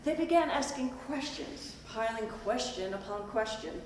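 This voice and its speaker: A woman on stage playing an earnest, gracious school organizer of the 1920s, politely speaking and telling her story in a measured, genteel way. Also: on the high side, expressive, natural voice. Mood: storytelling. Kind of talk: amateur stage play, narrating and acting. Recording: distant echoey hall recording, background noise.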